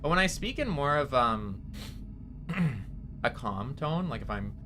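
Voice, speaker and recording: A man's voice: medium pitch, very animated, slow pace, background noise. A young man with a nasally voice, rambling casually to his chat as a streamer while playing a game. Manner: rambling, chatty, answering chat.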